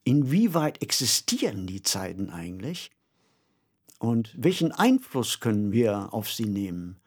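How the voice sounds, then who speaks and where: A man co-hosting a podcast, talking in a conversational, reflective way with measured phrasing. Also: lowish voice, sing-song, slightly rough. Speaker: podcast co-host.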